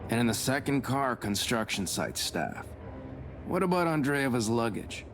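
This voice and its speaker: A practical period gentleman from a visual novel, talks plainly and in a businesslike way. His mid-pitched voice comes with clear background noise. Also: big pitch swings, normal speed.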